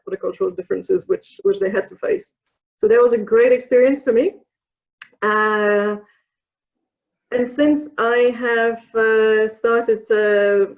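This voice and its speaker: A woman's voice: mid-range voice, lo-fi sound. A woman from Poland with a Polish accent, in her thirties, answering interview questions thoughtfully, with many fillers and restarts. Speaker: interview guest, program alumna.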